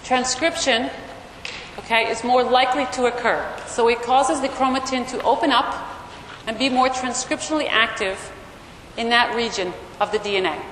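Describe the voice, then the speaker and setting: A woman's voice: on the high side, normal speed. A woman lecturer explaining material to a class in an explanatory way, often checking in with a short questioning word, speaking into a microphone in a large lecture room. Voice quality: loud voice. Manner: explanatory, checks in with "okay?" and "right?". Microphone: microphone through room amplification. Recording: echoey lecture hall, amplified, faint noise.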